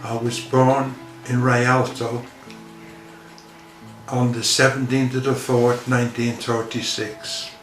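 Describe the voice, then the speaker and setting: A man's voice: medium pitch, little pitch movement, slow pace, a bit bright. A man in his seventies talks with a Dublin accent, level and unvaried. He states the facts plainly. The recording has a roomy echo, with guitar behind. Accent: Dublin Irish. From Dublin, Ireland. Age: 70s. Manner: plain, matter-of-fact. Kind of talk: oral history recollection.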